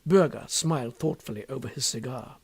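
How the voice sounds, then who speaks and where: A man's voice: mid-range voice, brisk, gravelly, husky, no room sound. A man reading literary fiction aloud as an audiobook narrator.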